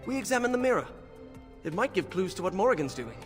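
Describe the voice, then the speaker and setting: An actor playing an earnest young male mage scholar in a fantasy video game, speaking in a measured, explanatory way. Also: high voice, sing-song. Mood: earnest, focused. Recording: game audio with long reverb under music.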